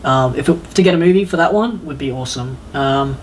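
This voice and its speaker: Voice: mid-range voice, a little fast. Casual podcast host over noticeable background noise. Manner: rambling, acts out imagined lines. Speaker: podcast host reviewing video game films. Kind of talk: casual opinionated panel chat.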